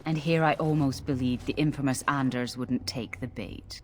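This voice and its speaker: Self-righteous male knight villain actor for fantasy game: mid-pitched, formal, haughty, menacing. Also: fairly even intonation, normal speed, a little mellow, natural voice. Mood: smug menace. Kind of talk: fantasy game dialogue acting.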